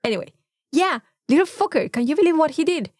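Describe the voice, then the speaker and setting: A woman with a Mexican accent telling an anecdote in a casual, animated podcast chat, swearing freely. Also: high voice, quick pace, dry recording. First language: Spanish. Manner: animated storytelling with frequent swearing. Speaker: podcast co-host.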